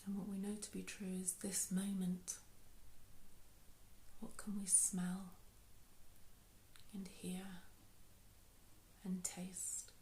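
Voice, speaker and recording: Mellow, even-toned woman guiding meditation. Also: medium pitch, takes it slowly, long pauses, a little hiss or hum. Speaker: meditation guide. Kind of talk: guided meditation. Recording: roomy, echoey sound.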